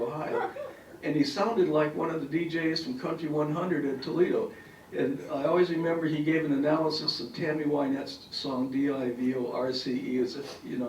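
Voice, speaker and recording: An older man, a retired professor, telling rambling stories at a farewell event, his voice raspy and hoarse from bronchitis. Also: a little high, a little mellow, far from the mic, a little hiss or hum. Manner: rambling anecdotes with frequent fillers. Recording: echoey hall recording from afar.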